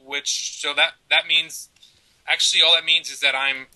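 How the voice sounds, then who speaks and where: A man's voice: fairly high pitch, fairly even intonation, moderate pace, pushed and bright, low-quality recording. A man in his thirties from Baltimore, co-hosting a casual parenting chat over a video call from a room at home, rambling and joking at his own expense. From Baltimore, US East Coast. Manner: rambling, joking, self-mocking. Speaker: podcast co-host. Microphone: headset on a video call.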